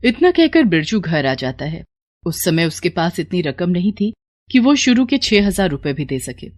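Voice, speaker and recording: A woman's voice: lowish voice, sing-song, normal speed, a little mellow. A woman narrating a scripted moral story.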